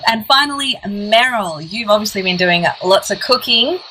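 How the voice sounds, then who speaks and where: A woman's voice: mid-range voice, animated, moderate pace, sharp tone, a little hiss or hum, low-quality recording. An Australian woman hosting a casual online chat with an upbeat questioning manner. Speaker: host.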